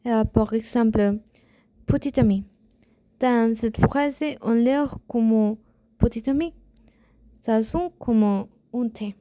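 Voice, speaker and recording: A young woman speaks French with a Spanish accent, her voice sitting fairly high but mellow and soft in tone. She talks very slowly, pausing and correcting herself as she works through a prepared answer. The recording is dry and close, with no room sound. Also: no echo. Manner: slow and hesitant, with pauses and self-corrections. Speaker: language student. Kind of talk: prepared spoken assignment answering questions.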